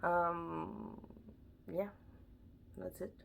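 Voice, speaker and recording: Woman, quebec french accent, stuffy nasal voice, chatty and rambling, gravelly edges, light background hiss. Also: fairly low pitch, level tone, very slow. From Montreal, Canada. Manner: chatty, rambling, self-deprecating. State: nasal, blocked nose from flu. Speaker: BookTube vlogger. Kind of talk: casual chat to camera. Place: at home, indoors.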